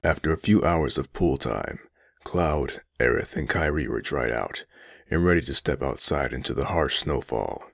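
A man's voice: extremely low pitch, normal speed, creaky, no echo, clean studio sound. A man reading scripted narration for an audio drama. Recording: music under the narration. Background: loud background music.

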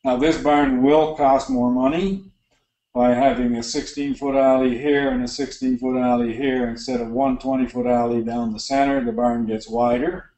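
A middle-aged man with a Canadian accent gives a practical slide lecture on barn design, relaxed and full of fillers and restarts. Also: mid-range voice, moderate pace. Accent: Canadian English. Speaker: dairy barn design consultant. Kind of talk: lecture narration over slides. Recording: roomy echo.

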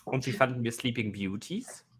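A man's voice: medium pitch, animated, normal speed. Young male livestream host, noisy recording. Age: 20s. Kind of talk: casual book chat. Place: home room with bookshelves, indoors. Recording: loud noise, clicks, video-call audio.

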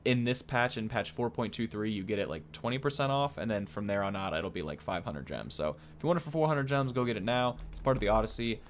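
A man's voice: on the low side, expressive, fast talker, few pauses. A young man giving casual video game commentary as a host. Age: young adult. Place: indoor room, at a desk. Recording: clear game noise behind. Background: video game ambience.

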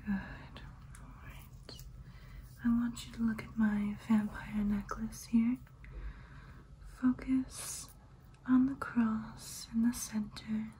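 A woman playing a soothing female vampire in an ASMR roleplay, whispering breathily in a slow, lulling way. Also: fairly high pitch, level tone, dark tone, a little hiss or hum, low-quality recording. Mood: calm, hypnotic.

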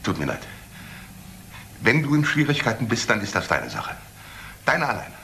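An actor playing a defensive, resentful middle-aged alcoholic in a crime drama, halting and repeating himself. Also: male voice, medium pitch, huge pitch swings, pushed and bright, slight background noise, exaggerated voice. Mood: bitter, resentful. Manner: halting, repetitive, agitated. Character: defensive, resentful alcoholic brother, weak-willed. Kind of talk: German crime drama acting.

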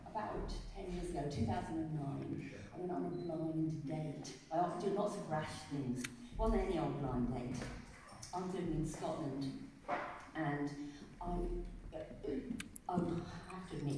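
A middle-aged English woman, an author and storyteller, telling lively, self-mocking stories to a seated audience at a writers' event. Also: low voice. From England. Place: large glass conservatory with a seated audience. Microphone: podium mic heard from the back of the room. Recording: echoey hall from far off, noisy.

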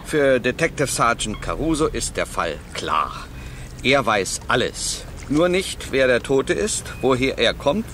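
An actor playing a cheeky, sardonic newspaper reporter in a radio play, mocking and ironically polite. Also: male voice, mid-range voice, fairly bright tone, a little hiss or hum. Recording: waterside sound effects faintly behind.

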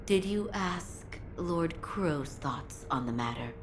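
A woman playing a composed, gentle physician in a video game, soft-spoken, formal and quietly wry. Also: low-pitched, lively intonation, slow pace, background noise.

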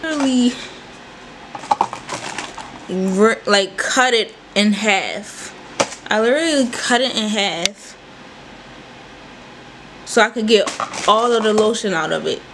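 A woman's voice: medium pitch, lively intonation, unhurried, long pauses, noisy background. A young woman in her twenties talking casually and animatedly to viewers, calling them y'all, while filming a vlog in her bedroom on a handheld phone. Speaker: vlogger. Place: small bedroom, indoors. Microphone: handheld phone camera, then propped on desk.

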